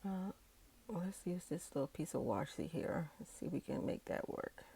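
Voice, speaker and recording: A woman speaks in a low, gravelly voice, roughened and husky from a cold. She talks in an unhurried, thinking-aloud way, dotted with okays and uhs, as she works through a tabletop planner session. Her tone is dark and mellow. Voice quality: raspy, froggy voice. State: hoarse from a cold. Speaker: digital planner video creator. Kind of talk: casual talk-through while decorating a planner. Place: indoors at a tabletop, hands working an overhead-filmed tablet.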